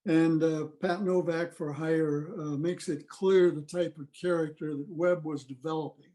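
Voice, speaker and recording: A man's voice: fairly high pitch, fairly even intonation, a little slow, mellow. A man giving an informal talk as presenter at a club meeting over a video call. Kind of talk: informal talk with audio clips.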